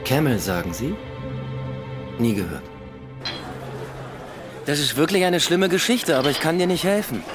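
An actor playing an evasive, grumbling police detective in a radio drama, casual and dismissive. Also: male voice, fairly high pitch, lively intonation, normal speed, pauses often, over music, natural voice.

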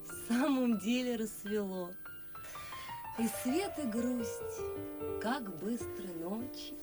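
A genteel young daughter in a verse radio drama, with music clearly behind her. She is dreamy and refined, taking her lines slowly in a fairly high voice. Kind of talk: classic verse radio play acting.

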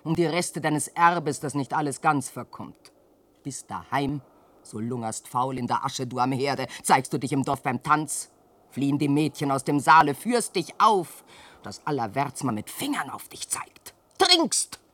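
A woman's voice: extremely low pitch, expressive, unhurried, fairly bright tone, heavily acted. An actress playing an old peasant widow and mother who scolds and laments in declamatory verse in a radio drama. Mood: scolding. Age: older. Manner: declamatory, nagging and wailing, dramatic swings.

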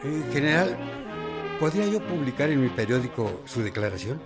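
A man's voice: medium pitch, big pitch swings, normal speed, lightly acted. A man playing an eager, polite newspaper reporter in a radio drama. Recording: radio play under loud brass music.

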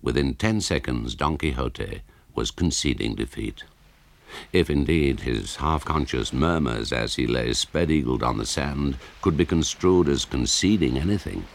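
A man's voice: extremely low pitch, animated, measured pace, creaky, heavily acted. An actor playing an embittered old author who narrates his tale in a radio drama, wry, scornful and grandiloquent. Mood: wry storytelling.

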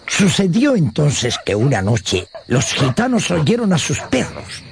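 An actor playing a dramatic radio narrator of an old tale, given to confiding asides to the listener. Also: male voice, fairly high pitch, wildly swooping pitch, a little slow, gravelly, heavily acted. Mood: excited. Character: animated male storyteller of an old tale. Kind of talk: theatrical radio storytelling narration. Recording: music behind the voice. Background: music.

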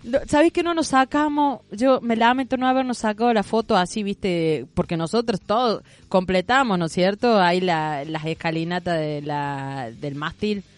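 An Argentine woman hosting a studio radio show, chatting in a rambling way with quick asides. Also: mid-range voice. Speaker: radio host. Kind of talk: casual studio radio chat. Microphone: studio microphone.

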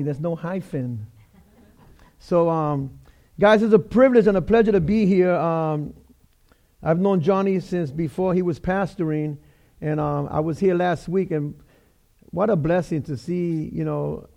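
Middle-aged Bronx-raised pastor, New York accent, high voice, chatty and joking from the pulpit. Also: male voice, vocal fry, warm tone. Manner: chatty, joking, many fillers and restarts. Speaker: guest pastor. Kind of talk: casual sermon.

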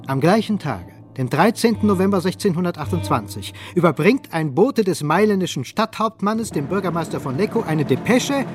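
Male drama narrator, measured and literary, slightly high voice, music clearly underneath. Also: expressive. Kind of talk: scripted narration of a radio play.

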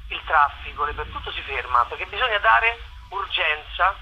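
A man's voice: a little high, animated, normal speed, muffled recording, slight background noise. A man explaining his work in a radio interview, often repeating the openings of his phrases. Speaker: actor and playwright being interviewed. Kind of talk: conversational interview, explaining own show.

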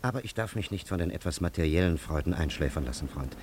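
An actor playing a dignified, pleasure-loving time traveller from an ancient land in a radio drama, with a gravelly, husky voice and lofty, formal diction. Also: male voice, low-pitched, animated, fast, a little mellow, slight background noise. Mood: stately, courteous. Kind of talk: German radio drama acting.

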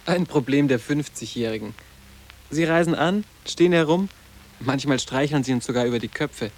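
A man's voice: mid-range voice, moderate pace, lightly acted. A male actor playing a sarcastic, idealistic schoolboy in a radio play, speaking in terse, dry statements. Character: sarcastic, idealistic teenage schoolboy resisting his elders. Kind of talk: literary German radio play acting.

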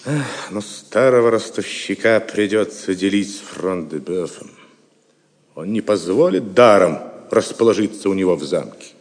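A man's voice: on the low side, animated, normal speed. A man acting a cynical, greedy, boastful Norman knight in an audio drama, with a sardonic manner. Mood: sardonic relish. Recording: reverberant hall-like sound.

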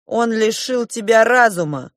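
A woman's voice: mid-range voice, animated, slow, dry recording, studio quality. A woman voicing an earnest younger prince in an audiobook, speaking firmly and emphatically in accusation. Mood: accusing. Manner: firm, emphatic.

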